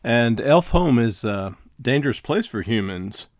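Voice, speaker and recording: A man's voice: mid-range voice, lively intonation, unhurried, no room sound. A man hosting a podcast interview with prepared summaries and prompts, recorded with slightly dull lo-fi sound.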